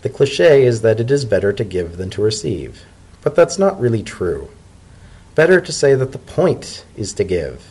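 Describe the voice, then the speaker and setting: Man playing an earnest, husky pony civil servant. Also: lowish voice, big pitch swings, a little mellow, full character voice. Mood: tender, reflective. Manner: formal, measured, sincere speech. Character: earnest, polite male pony civil servant, thoughtful diplomat.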